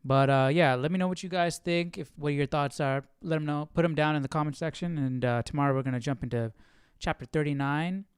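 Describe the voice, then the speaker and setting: A man's voice: a little high, fairly quick, smooth, no echo, studio quality. A man hosting a live Bible study, chatting informally and thinking aloud in a hesitant, musing way. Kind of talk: casual live-stream chat.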